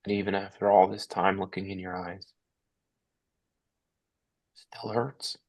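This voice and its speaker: A man's voice: low-pitched, steady tone, a little slow, a little mellow. A man playing a guilt-ridden, grieving father, sombre and confessional, in a dramatic table read. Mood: sorrowful.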